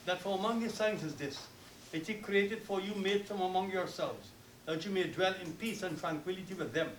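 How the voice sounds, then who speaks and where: A man from Guyana with a Guyanese accent, officiating a wedding and giving a solemn, formal sermon with rapid Arabic recitation. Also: sits high, a bit bright, roomy sound, far from the mic, slight background noise, poor audio.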